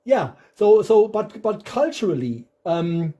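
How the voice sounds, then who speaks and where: A man's voice: sits high, very animated, slow pace, warm tone. A middle-aged man with a German accent, hosting a casual interview chat and talking in a hesitant way with many ums and restarts. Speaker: podcast host.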